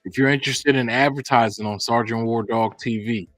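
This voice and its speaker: A man's voice: mid-range voice, fairly even intonation, brisk, fairly bright tone, no room sound, faint music behind. A man hosting a podcast, upbeat in his announcements and casual in conversation, calling his guest bro. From United States. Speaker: podcast host.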